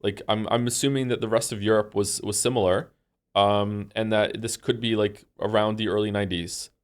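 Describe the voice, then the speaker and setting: A man from Wales livestreaming, thinking aloud in a rambling, excitable, incredulous way as he chats casually with viewers during a game. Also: on the low side, normal speed, clean tone. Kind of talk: casual chat with stream viewers while playing a guessing game.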